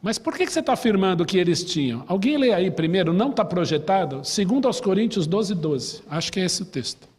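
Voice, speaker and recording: A Brazilian-accented pastor leads a Bible class in a church hall, his somewhat high voice husky and breathy. He talks in an easy, chatty way, quizzing the listeners. Long reverb trails each phrase. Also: very animated, fairly quick, few pauses, a little mellow. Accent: Brazilian Portuguese. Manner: chatty, asks the class questions, tells personal stories. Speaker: pastor teaching a Sunday school class. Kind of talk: conversational Bible class teaching.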